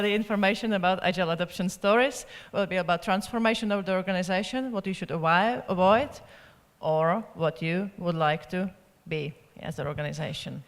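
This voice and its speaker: A woman's voice: mid-range voice, a bit bright. A woman in her thirties with a Czech accent, presenting her talk to an audience on a handheld microphone. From Czech Republic. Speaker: conference speaker. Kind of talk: introducing own talk to an audience. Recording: reverberant hall.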